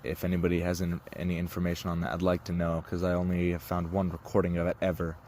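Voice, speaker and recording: A man's voice: low-pitched, completely flat delivery, fast talker, darkish tone. A teenage male radio hobbyist giving casual, explanatory commentary in a slightly hesitant way. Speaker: shortwave radio hobbyist narrator. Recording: noisy, radio static and hum behind. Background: shortwave radio noise.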